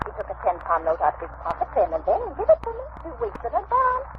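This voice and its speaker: A woman's voice: very high voice, wildly swooping pitch, fast talker, full character voice. An actress playing an anxious, deferential working-class landlady who talks fretfully in a 1940s radio drama. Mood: anxious, explaining. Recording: tinny, noisy vintage radio recording.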